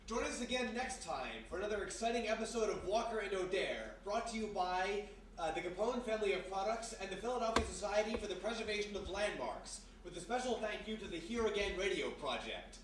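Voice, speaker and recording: A man's voice: high-pitched, lively intonation, a little fast. A man working as announcer and narrator of a live show in old-time radio style. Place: live performance in a historic house. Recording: very echoey live room, distant, noisy.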